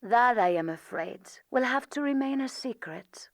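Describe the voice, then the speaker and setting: A woman's voice: fairly high pitch, expressive, moderate pace, no echo, clean studio sound. A woman voicing a calculating female spy, controlled and formal, in a dramatic video game. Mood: cool, menacing.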